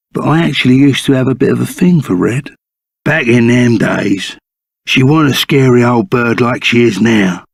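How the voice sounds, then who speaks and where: Animated Cockney bandit sidekick, creaky voice. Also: male voice, medium pitch, no echo, studio quality, heavily acted. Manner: rough colloquial slang, blunt and emphatic. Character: gruff, loyal reformed bandit sidekick, plain-spoken and excitable. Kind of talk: video game character voice acting.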